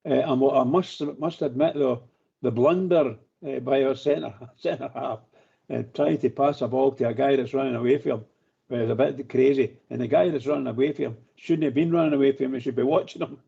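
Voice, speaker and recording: A hoarse older man chatting as a pundit on a fan radio phone-in, hesitant with many fillers. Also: mid-range voice, brisk. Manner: hesitant, warm-hearted, lots of uh fillers. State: hoarse from shouting. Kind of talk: casual phone-in chat. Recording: muffled phone-in line.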